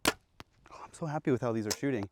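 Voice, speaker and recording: A man's voice: medium pitch, fast, background noise. Hyped young guy vlogging outdoors. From Pacific Northwest of the US. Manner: hyped, slangy, talks to camera. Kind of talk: excited outdoor archery vlog. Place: open public land outdoors. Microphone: action camera.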